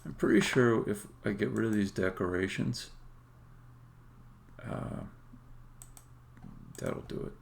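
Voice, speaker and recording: A man with a deep-leaning voice full of heavy fry talks through his coding on a casual stream. He works haltingly, half to himself, like a developer thinking out loud. A faint bed of room noise and keyboard clicking sits behind the voice. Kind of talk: casual live-coding commentary, thinking aloud.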